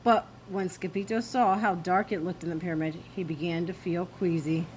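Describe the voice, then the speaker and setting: A woman storyteller reading a children's story aloud with expressive, theatrical emphasis. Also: fairly low pitch, moderate pace, slight background noise, rough recording.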